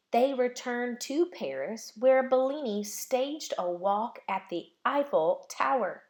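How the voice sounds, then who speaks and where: Slow, expressive woman reading a storybook. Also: high voice. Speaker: storybook reader. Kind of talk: read-aloud narration of a children's picture book.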